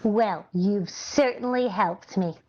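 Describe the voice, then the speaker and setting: A woman's voice: medium pitch, slow, a little mellow, highs cut off. A woman playing a scatterbrained, naive and sincere housewife in 1940s-style radio comedy. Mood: cheerfully proud. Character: scatterbrained, earnest, cheerfully illogical 1940s housewife.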